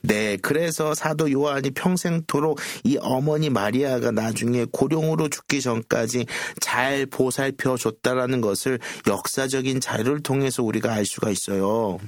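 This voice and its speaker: A man's voice: medium pitch, normal speed, few pauses, no echo, studio quality. A man speaking as a pastor, explaining Bible passages in a conversational lesson. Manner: explanatory, quotes scripture. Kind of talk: Bible teaching in a conversational group discussion.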